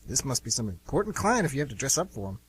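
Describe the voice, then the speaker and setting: A man's voice: mid-range voice, sing-song, quick pace, breathy edge, dry recording. A man playing a shifty, easygoing younger brother and small-time thief in an amateur radio drama, talking in an offhand, slangy way. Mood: shifty, casual.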